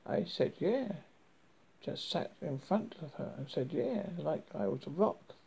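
Husky creaky man reading a story aloud. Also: fairly high pitch, expressive, mellow. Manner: halting, stumbling over words. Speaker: horror story reader, host. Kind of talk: dramatic reading of a first-person story.

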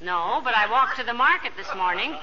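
An actress playing a sensible, patient but exasperated wife with a dry, chiding delivery in 1940s radio comedy. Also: female voice, fairly high pitch, expressive, fairly quick. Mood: wry. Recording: dull, noisy vintage radio recording.

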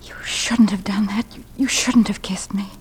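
An actress playing a proper, spirited young widow in 1940s radio drama, prim, genteel and quick to bristle. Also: female voice, mid-range voice, fairly even intonation, in a whisper, close to an everyday voice. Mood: flustered. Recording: faintly noisy vintage radio broadcast.

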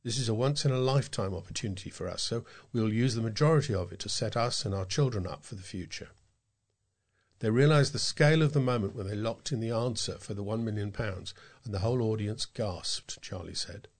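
A man's voice: on the low side, a little fast. A man reading scripted local news stories as a volunteer newsreader.

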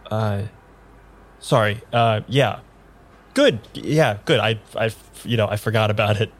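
An actor playing a nervous, hesitant amnesiac man in an improvised radio drama, talking in a halting, understated way. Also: on the low side, fairly even intonation. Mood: hesitant, puzzled. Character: nervous, hesitant amnesiac man having his tarot read.

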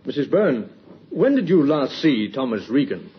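A man playing a calm, formal, quietly persistent police inspector in an old radio drama. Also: mid-range voice, normal speed, close to an everyday voice. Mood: calm, probing. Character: composed, quietly persistent male Scotland Yard police inspector. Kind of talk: 1950s radio drama acting. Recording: muffled old radio recording with hiss.

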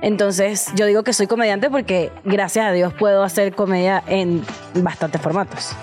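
A woman's voice: mid-range voice, moderate pace, faint music behind. A woman with a Venezuelan accent, chatting and joking colloquially as a guest comedian in a radio interview. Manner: animated storytelling, colloquial, joking. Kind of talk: casual radio interview chat. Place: radio studio.

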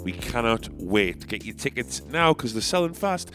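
A man's voice: medium pitch, very animated, normal speed, fairly bright tone, faint music behind. A man hosting his own podcast, chatting in a rambling, sweary, self-deprecating way with a stuffy nose. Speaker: podcast host, comedian and musician. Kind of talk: casual rambling monologue.

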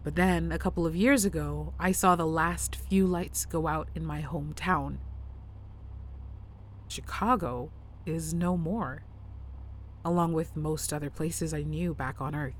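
An actress playing a lonely, fearful woman recording a reflective, halting log in a science-fiction audio drama. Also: deep voice, steady tone, a little hiss or hum. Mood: wistful, dread.

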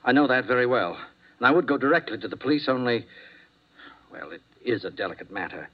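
A formal, uneasy doctor played by a male actor in a vintage radio play. His mid-pitched voice is halting and flustered, yet carefully worded. The 1950s recording sounds dull. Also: lively intonation, natural voice. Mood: nervous, defensive. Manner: flustered, halting, precise diction.

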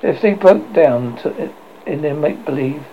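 A man reading a classic children's novel aloud, expressive but stumbling and restarting often, on a muffled lo-fi recording with faint hiss. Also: a little high, normal speed. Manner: expressive, with frequent slips and restarts. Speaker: audiobook reader.

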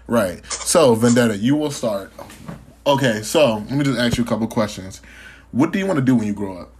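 A man's voice: medium pitch, expressive, fairly quick, darkish tone, a little hiss or hum. A young man hosting a podcast in casual chat, teasing his guests with profane banter and frequent laughter. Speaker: podcast host.

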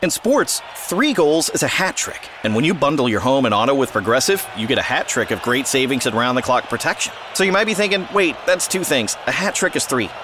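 Deadpan man in a comic ad. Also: mid-range voice, brisk, few pauses, slight background noise. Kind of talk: comic radio ad.

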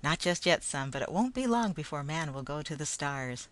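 A woman's voice: low voice, animated, normal speed. A woman voicing a patient, kindly father who answers his son in a reassuring way.

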